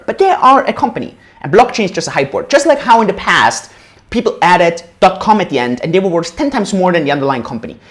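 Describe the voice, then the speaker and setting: A man in his thirties from Austria, giving a casual livestream lecture on investing at a whiteboard, often tagging sentences with "right?". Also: fairly high pitch. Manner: animated, casual, frequent "right?" tag questions. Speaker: crypto educator.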